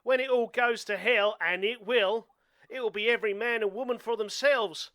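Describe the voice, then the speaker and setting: Man acting high, bright young doomsday survivalist. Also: normal speed, dry recording, clean studio sound. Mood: blunt, grim. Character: earnest young doomsday survivalist, self-reliant and blunt. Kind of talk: amateur radio play acting.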